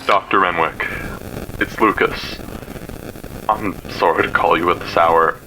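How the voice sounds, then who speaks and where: A man's voice: low voice, fairly even intonation, pushed and bright. Actor as terrified man on noisy payphone voicemail. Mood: frightened, weary. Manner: halting, stammering, repeating himself. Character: terrified, tearful missing man pleading in a voicemail. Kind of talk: audio horror drama acting.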